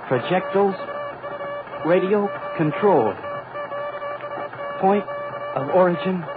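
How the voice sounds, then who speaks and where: An actor playing an anxious rocket ship radio operator, relaying disaster in halting fragments in 1940s radio drama style. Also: male voice, high-pitched, exaggerated voice. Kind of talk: 1940s radio horror acting. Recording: narrow-band old radio recording with music.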